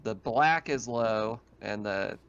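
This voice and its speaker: A man's voice: on the low side, slow, fairly bright tone, clear, resonant tone, dry recording, muffled recording. A man casually narrating and explaining a tabletop game as game master.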